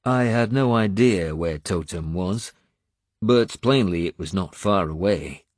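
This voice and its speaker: A man narrating first-person historical fiction as a scripted audiobook, with measured, dramatic storytelling. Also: sits low, a little mellow, dry recording, clean studio sound.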